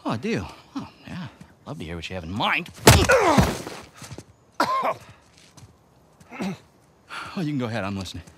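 An actor playing a smug, taunting American villain in a video game cutscene, with theatrical sarcasm. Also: male voice, medium pitch, wildly swooping pitch, very fast, background noise. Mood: mocking. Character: smug, mocking male villain, rich treasure hunter.

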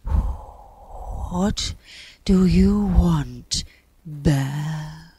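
A woman voicing a huffy female wind spirit in a children's story, with a breathy, sighing delivery. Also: low voice, drawn-out words, dark tone, exaggerated voice. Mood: weary, irritated. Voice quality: breathy, whispery.

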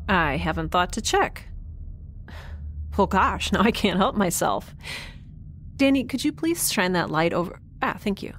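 A woman's voice: mid-range voice, lively intonation, unhurried, long pauses, a little hiss or hum, lightly acted. A woman playing a thoughtful, curious young scientist, conversational and a little hesitant, in a naturalistic audio drama.

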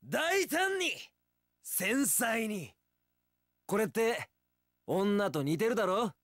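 High, flamboyant carefree swordsman. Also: sing-song, slow, fairly bright tone, no echo, clean studio sound, full character voice. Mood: playful swagger. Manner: theatrical, breezy, casual swaggering speech. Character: young carefree male wandering swordsman, flamboyant, playful romantic brawler. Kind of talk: Japanese action game voice acting.

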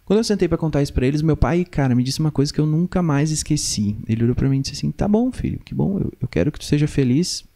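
A man's voice: fairly high pitch, animated, fast talker, gravelly, hardly any pauses, dark tone. A young man with a southern Brazilian gaúcho accent telling stories casually and acting out remembered dialogue, close on a studio mic. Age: young adult. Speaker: podcast guest, musician. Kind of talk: casual conversational storytelling. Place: small indoor podcast studio. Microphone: close studio mic on boom arm.

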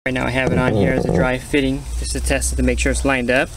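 A man's voice: medium pitch, monotone, fast. A man giving casual, plain-spoken step-by-step instructions as he does hands-on work outdoors, hosting a how-to tutorial. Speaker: how-to host, pool equipment installer. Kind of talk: casual hands-on tutorial narration while working. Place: outdoors at a small backyard equipment pad. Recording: outdoor recording with clear ambient background noise.

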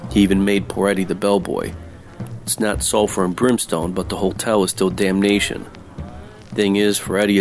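A man plays a hardboiled, sardonic private eye narrating a noir radio play. His deadpan voice sits on the low side, with music underneath. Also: close to an everyday voice. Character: wisecracking hardboiled male 1940s private detective, world-weary.

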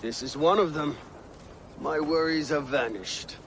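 An actor playing a proud, blunt Viking chieftain in a video game cutscene, speaking in a commanding way. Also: male voice, fairly high pitch, unhurried, a bit bright, a little hiss or hum. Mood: wry.

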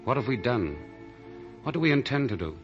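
A man's voice: mid-range voice, very animated, brisk, lightly acted. An actor playing an earnest, troubled man in love, in 1940s radio drama style. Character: earnest, troubled male lover with a secret. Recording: muffled old 1940 radio recording, music behind.

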